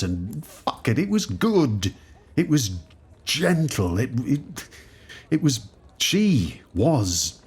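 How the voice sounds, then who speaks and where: An actor playing a troubled, guilt-ridden middle-aged time traveller with a husky voice, in an audio drama. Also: male voice, low-pitched, exaggerated voice. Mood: tender, wistful. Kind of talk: audio drama diary monologue and dialogue.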